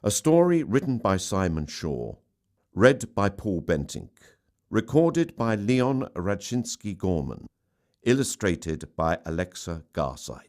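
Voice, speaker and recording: A man narrates a storybook tale in a low voice. His delivery is slow and theatrical, with very dramatic swings of pitch that give the narration an expressive, performed feel. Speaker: story reader.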